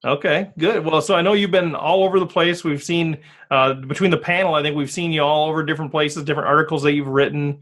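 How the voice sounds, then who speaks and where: A man's voice: on the high side, fairly even intonation, quick pace, fairly bright tone. A man hosting a podcast interview, joking and rambling with many restarts. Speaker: podcast host. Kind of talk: casual interview.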